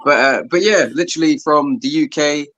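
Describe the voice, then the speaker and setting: A man's voice: on the high side, moderate pace, fairly bright tone, dull audio. A man from Southeast London with a London accent, chatting casually as a guest. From Southeast London, England. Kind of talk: casual chat.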